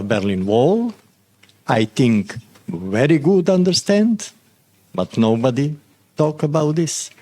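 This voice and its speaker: Slow-talking man. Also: medium pitch, a little mellow. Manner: broken English, emphatic opinions. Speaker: audience member asking a question.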